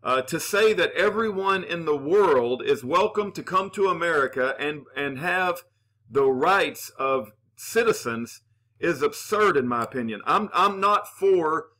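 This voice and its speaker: A man hosting his channel, giving opinionated commentary with Bible references in an earnest, halting way full of "uh" fillers and restarts. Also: a little high, expressive, pushed and bright. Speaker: channel host reading and answering viewer comments.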